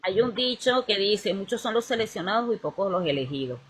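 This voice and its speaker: A woman's voice: medium pitch, expressive, a little fast, breathy edge. A woman speaking in a casual spiritual chat, rambling and searching for words as she restarts her sentences. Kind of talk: casual conversation about spirituality.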